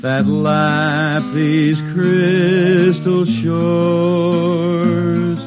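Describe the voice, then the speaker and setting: A blind wandering balladeer of the spaceways, a country man from the Ozark hills with a fairly high voice. He talks in rustic dialect, gruff yet dreamy, in vintage radio drama style with music clearly behind him. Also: flat delivery, extremely slow. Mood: solemn recitation. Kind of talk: mid-century radio drama acting.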